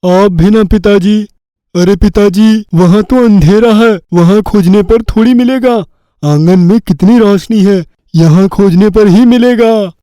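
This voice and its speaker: A man voicing a foolish, cocky village boy in a Hindi cartoon story, self-satisfied and slow-witted. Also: high-pitched, mellow, no room sound. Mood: puzzled, earnest.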